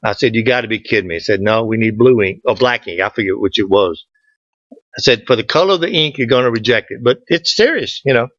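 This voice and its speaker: A man's voice: medium pitch, animated, fast, fairly bright tone. A man from Georgia with a Southern US accent, presenting an informal club class in a folksy, joking way. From Georgia, southern United States. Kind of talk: informal slide lecture with group chat. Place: indoor meeting room, at a lectern. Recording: dull, muffled room recording.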